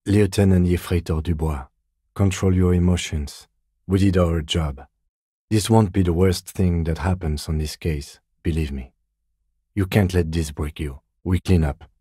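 An actor playing a composed, dry police lieutenant in a video game, speaking softly in a measured, restrained deadpan with a slightly husky voice. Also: male voice, sits low, no room sound. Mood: firm, steadying. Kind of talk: video game dialogue acting.

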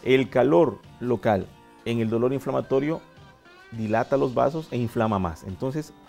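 A man in his forties, a doctor guest on a TV health show, explaining treatments in a steady, methodical way with a lapel mic in a studio. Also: medium pitch, normal speed, vocal fry, faint music behind. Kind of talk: medical explanation.

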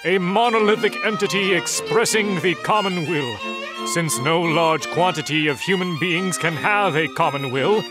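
High-voiced man narrating over music. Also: normal speed. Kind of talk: scripted narration, solemn reading of numbered points.

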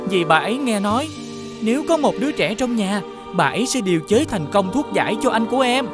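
A woman's voice: mid-range voice, very animated, fairly quick, music in the background, close to an everyday voice. A woman playing a grateful, earnest little boy who explains things in a scripted children's fairy tale.

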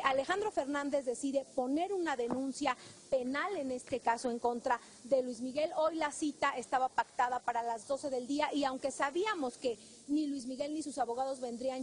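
A woman with a Mexican accent giving a live field report as a TV reporter. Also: sits high. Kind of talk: live news report, semi-scripted. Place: outside a government building on a city street. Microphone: handheld reporter microphone. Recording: outdoor street noise behind.

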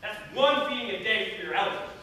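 Young man acting a bossy, high-voiced quarrelsome brother. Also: expressive, fast talker, bright, forceful tone. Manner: scolding, emphatic. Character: bossy, quarrelsome man, stern son on the ark. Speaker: amateur stage actor. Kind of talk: loud, declamatory school stage acting. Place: theatre stage, distant audience camera. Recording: echoey stage, recorded from far off, hiss.

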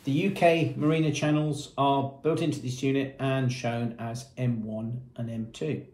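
A man in his fifties giving a matter-of-fact product demonstration, explaining features with hesitant pauses. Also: mid-range voice, fairly even intonation, darkish tone. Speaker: product presenter for a marine shop. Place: small indoor room with painted block walls. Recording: echoey small room.

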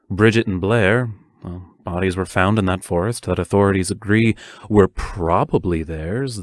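A man's voice: low-pitched, no room sound, studio quality. An actor playing a serious, protective male schoolteacher who speaks in careful, formal phrases in an audio drama.

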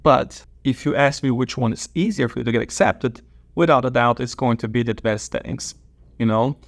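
A man's voice: medium pitch, brisk, vocal fry. Brazilian man explaining with hand gestures. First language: Portuguese. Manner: explains with open hand gestures, repeats key points. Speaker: dentist and educator. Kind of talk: advice talk to camera. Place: small office, seated at a desk. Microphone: lapel mic.

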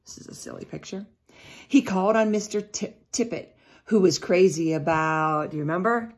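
Low-pitched woman reading to children. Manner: deliberate storytelling, pausing to question the listeners. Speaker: teacher reading aloud to children. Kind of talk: picture-book read-aloud with asides to children. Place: small indoor room at home.

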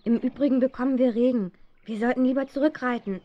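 A woman's voice: high voice, steady tone, fast, a little hiss or hum, close to an everyday voice. A woman playing a plucky, headstrong teenage girl detective in a children's radio play, eager and insistent. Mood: excited, determined. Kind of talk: 1970s German children's radio play acting. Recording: dull, muffled old audio drama recording.

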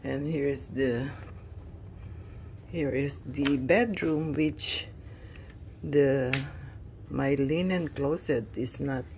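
A woman giving a casual, halting tour of her newly finished basement, filming on a phone indoors. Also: rumbling low, a little mellow, slight background noise. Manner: hesitant, many restarts and fillers. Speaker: home vlogger. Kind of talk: casual home tour narration.

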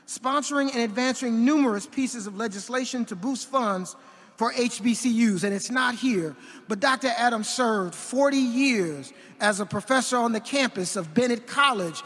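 A man reading a formal resolution from a podium microphone in a large indoor arena, measured and ceremonial, with occasional slips. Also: very high voice, fairly bright tone. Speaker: university official presenting an honorary degree. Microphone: podium gooseneck microphone. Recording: long reverb of a big arena.

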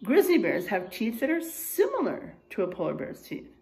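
Middle-aged woman, teacher type, for a children's read-aloud; highish voice, very lively intonation, explains simply. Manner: deliberate, expressive, pausing to explain simply to young children. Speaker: kindergarten teacher. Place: indoors, in a room with drywall and posters.

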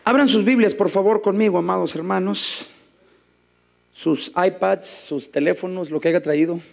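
A man's voice: high-pitched, normal speed, creaky, in a large hall. A man, preaching on a church stage and joking with the congregation. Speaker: guest preacher and singer. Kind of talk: sung worship then sermon. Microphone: handheld mic. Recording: loud band music behind. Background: band music during songs.

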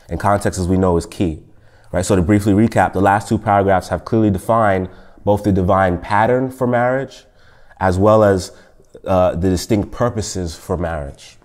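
A man in his thirties teaching a church Sunday school lesson, a little nasal from a cold, measured and fond of asking "right?". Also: deep voice, darkish tone. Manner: measured, repeats "O Lord" and "right?". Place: church meeting room at a table.

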